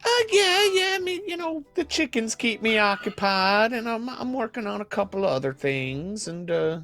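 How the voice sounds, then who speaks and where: A man's voice: sits high, sing-song, moderate pace, pushed and bright, soft background music, exaggerated voice. A man playing a bookish, fussy wasteland hermit in an improvised game roleplay, hesitant and folksy, rambling and repeating himself. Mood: awkward, hesitant.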